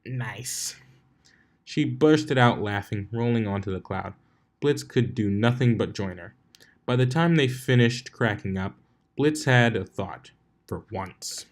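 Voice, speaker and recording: A man's voice: medium pitch, lively intonation, unhurried. A man reading fan fiction aloud as a scripted story narration.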